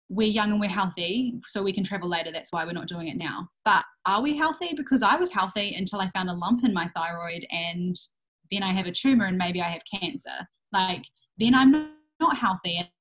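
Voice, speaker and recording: A woman's voice: on the low side, fairly bright tone, rough recording. A young woman from England, talking openly and reflectively as an interview guest about family life. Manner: open, reflective, with fillers and quick asides. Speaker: interview guest, worldschooling mother. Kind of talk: casual interview answers.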